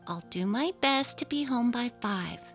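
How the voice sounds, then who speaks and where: A woman's voice: a little high, lively intonation, unhurried. A woman voicing an apologetic, evasive young working wife and mother in a dramatic story reading. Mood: placating, evasive. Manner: apologetic, placating. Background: faint music.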